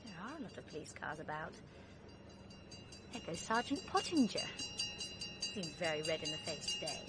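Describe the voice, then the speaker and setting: A woman's voice: sits low, very animated, normal speed, over loud music. An actress playing a plucky young British woman with a breezy, coaxing, chatty manner in a vintage radio drama. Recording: very noisy vintage radio recording.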